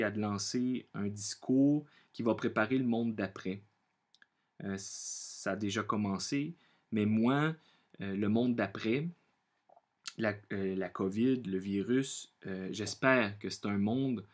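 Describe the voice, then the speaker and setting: Low-voiced, halting Québécois man. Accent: Quebec French. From Quebec, Canada. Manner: halting, searching for words, repeating himself; earnest. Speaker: vlogger sharing personal opinions. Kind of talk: unscripted monologue to camera.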